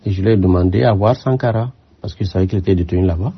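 An older man from Burkina Faso, a former military aide, answering interview questions in a measured, reflective way with frequent hesitations. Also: sits low, husky. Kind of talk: radio interview. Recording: muffled lo-fi sound.

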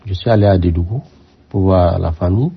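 An older man from Burkina Faso, a former military aide, answering interview questions in a measured, reflective way with frequent hesitations. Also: low voice, vocal fry, husky. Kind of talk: radio interview. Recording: muffled lo-fi sound.

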